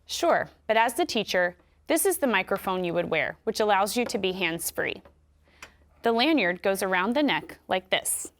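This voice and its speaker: Woman w/ american accent doing a scripted how-to, clear measured reading. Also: mid-range voice, animated. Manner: clear, measured explanatory delivery. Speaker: instructional video narrator. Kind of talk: scripted instructional narration.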